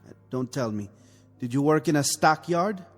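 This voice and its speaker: An actor playing a conscience-stricken revolutionary officer, sardonic and brooding, in a mid-century television drama. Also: male voice, on the high side, animated, moderate pace. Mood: bitter, sardonic. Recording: old TV soundtrack, reverberant, music behind.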